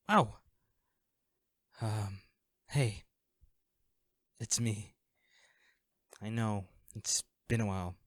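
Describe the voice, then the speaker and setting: A man acting in an audio roleplay as an anxious, self-deprecating young man, a caring old crush who talks haltingly and intimately to the listener. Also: lowish voice, dry recording, clean studio sound. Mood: nervous, hesitant. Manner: hesitant, halting, sighing.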